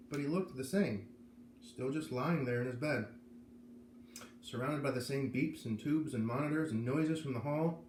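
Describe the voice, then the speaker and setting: A man narrates a children's novel aloud in a medium-pitched voice. He is in an echoey indoor room, with a little noise behind him. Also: a little mellow. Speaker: read-aloud host. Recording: roomy echo, faint background noise.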